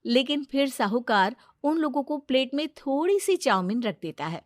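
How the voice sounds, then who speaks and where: A woman narrating a moral cartoon story in a steady, matter-of-fact way. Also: high-pitched, moderate pace, dry recording, clean studio sound.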